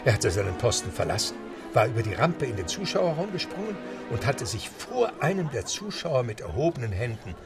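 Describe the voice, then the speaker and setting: An actor narrating a radio play as an English butler, in a measured storytelling tone. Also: male voice, mid-range voice, big pitch swings, music in the background. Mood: calm.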